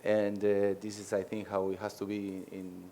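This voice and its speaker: A man from Argentina whose first language is Spanish, a head coach answering press questions in halting English with many pauses. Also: lowish voice, completely flat delivery, dark tone, a little hiss or hum.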